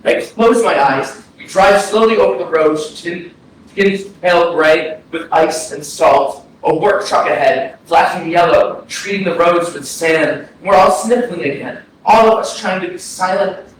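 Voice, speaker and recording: A man's voice: on the high side, a little slow, lightly acted. A man acting a grieving, self-conscious young man who mourns a dead friend in a poetic staged reading. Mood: numb, anxious. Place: indoor arts center stage, recorded from afar. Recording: very echoey hall, distant, faint noise.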